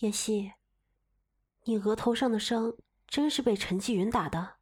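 A woman playing a protective, indignant young gentlewoman in a Chinese period radio drama, questioning forcefully. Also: on the high side, measured pace, slightly rough. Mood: concerned, indignant.

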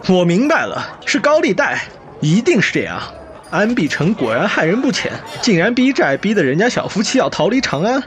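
An actor playing a talkative, excitable young nobleman in a Chinese audio drama, cheeky and exclamatory in manner. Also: high voice, normal speed. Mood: indignant, animated. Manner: chatty, exclamatory, teasing.

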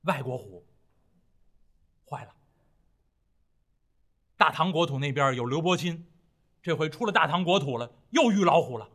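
A man's voice: fairly high pitch, animated, normal speed, pauses often, fairly bright tone. A man voicing a timid, easily startled monk master in an oral tale, solemn and fretful. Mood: alarmed.

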